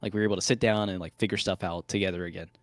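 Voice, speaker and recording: A man's voice: sits low, fairly even intonation, very fast, no room sound. A young man in a casual call interview, talking hesitantly with many fillers. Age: young adult. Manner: hesitant, filler-heavy speech.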